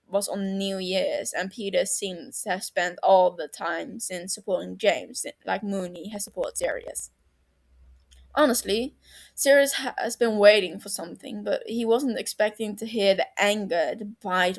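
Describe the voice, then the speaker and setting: A woman reading fiction aloud as an amateur audiobook narrator, in a storytelling style. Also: mid-range voice.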